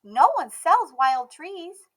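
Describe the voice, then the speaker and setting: A woman's voice: extremely high pitch, big pitch swings, takes it slowly, pitched above the performer's own voice, exaggerated voice. A woman voicing a polite but discouraging lady shopkeeper in a storybook, prim and sweetly apologetic. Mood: polite, dismissive.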